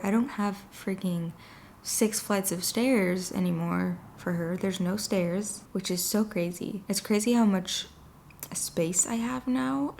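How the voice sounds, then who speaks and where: A woman hosting her own podcast alone at home late at night, chatting casually and rambling with lots of "like", her voice worn and slightly raspy from a long day of talking. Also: mid-range voice, darkish tone, slight background noise. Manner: rambling, filler-heavy talk with frequent "like". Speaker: podcast host. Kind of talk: casual solo chat.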